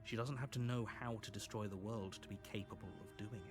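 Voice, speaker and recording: A man's voice: lowish voice, steady tone, brisk, music in the background, lightly acted. A man playing the inner voice of a hero in a visual novel, a doubting advisor in dramatic game acting. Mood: doubting, advising.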